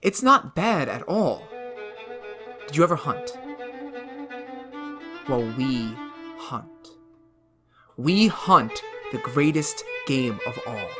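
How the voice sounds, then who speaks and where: A man acting an undead son who has come back as a wolf, speaking quietly and ominously in a radio drama. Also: medium pitch, big pitch swings, slow pace, pauses often, over music. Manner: quiet, soft, ominous and regretful. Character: undead young man returned as wolf, eerie calm.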